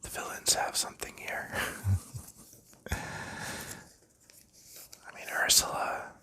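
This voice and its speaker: A man whispers through an ASMR session, his voice airy and very bright. He drifts from topic to topic in an easy, unhurried ramble, with small fillers as he works the cards. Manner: soft rambling whisper with light laughs and fillers. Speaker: ASMR channel host. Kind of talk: ASMR whispered chat while sleeving cards.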